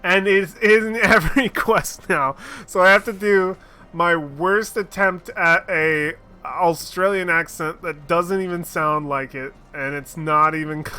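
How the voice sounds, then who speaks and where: A man's voice: high-pitched, steady tone, a little slow, bright, forceful tone, clear, resonant tone. A man streaming a game, chatting casually with goofy, laughing asides. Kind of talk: casual gameplay commentary.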